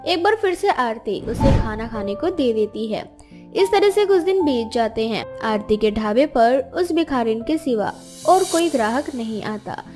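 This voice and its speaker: Female storybook narrator, very high voice, scripted children's tale delivery over soft music. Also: lively intonation, measured pace. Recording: music behind the voice.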